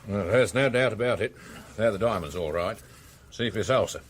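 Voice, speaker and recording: An actor with an English accent playing a plain-spoken, methodical police superintendent in a radio drama. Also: male voice, on the low side, a little fast, slight background noise, lightly acted. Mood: reporting, businesslike. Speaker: actor in a detective radio play. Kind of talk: British radio drama acting.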